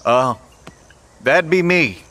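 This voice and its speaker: A man's voice: a little high, expressive, slow, sharp tone, heavily acted. A man playing an earnest, folksy survivor who leads a small group, plain and polite in an audio drama. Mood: steady, cooperative.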